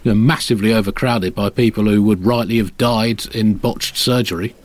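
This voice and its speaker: A man hosting a satirical comedy chat in a deadpan, hesitant way. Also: lowish voice, normal speed. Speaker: comedy podcast host.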